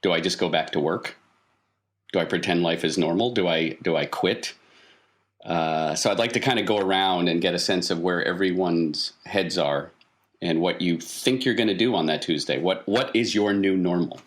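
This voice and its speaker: Male game master, low register, questioning and steering players in a tabletop story game. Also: moderate pace. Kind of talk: tabletop role-playing game narration and questioning.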